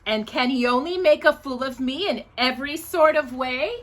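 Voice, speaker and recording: A woman hosting a children's storytime, reading aloud in an animated, sing-song manner. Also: sits high, normal speed.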